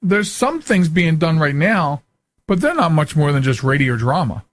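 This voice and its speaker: A man's voice: on the high side, sing-song, normal speed, dry recording. Chatty male radio host, dry close sound. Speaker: radio show and podcast host. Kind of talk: casual conversational radio hosting.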